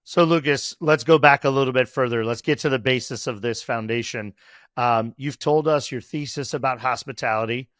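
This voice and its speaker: A man's voice: mid-range voice, normal speed, fairly bright tone, no room sound, studio quality. A man hosting a podcast interview, asking questions in a conversational way with some fillers. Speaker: podcast host.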